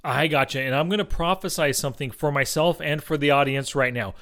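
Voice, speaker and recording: A man's voice: a little high, fairly quick, a bit bright. A man hosting a casual movie podcast, talking in a chatty, slangy, enthusiastic way. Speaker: podcast host.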